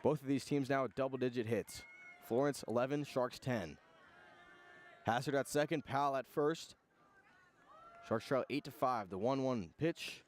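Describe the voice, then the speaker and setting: A man's voice: mid-range voice, normal speed, pauses often, slight background noise. A man doing live radio play-by-play commentary of a baseball game outdoors.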